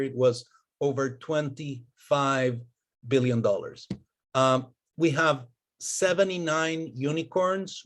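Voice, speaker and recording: A man in his fifties from Costa Rica, an investment partner giving a friendly, fluent presentation with frequent fillers and restarts. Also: medium pitch. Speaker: venture capital investor relations partner. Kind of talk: webinar presentation.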